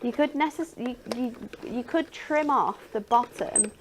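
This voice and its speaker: A woman's voice: fairly high pitch, a little slow, slight background noise. A woman presenting craft products on a shopping show, enthusiastic and full of ums and restarts. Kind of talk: live shopping channel presenting.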